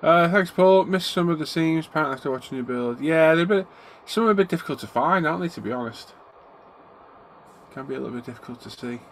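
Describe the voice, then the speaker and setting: A man's voice: fairly high pitch, expressive, fast, a little hiss or hum. A middle-aged man, hosting a casual live stream from his hobby room. He sounds bunged up from a cold and greets his viewers by name as he chats. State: congested, getting a cold.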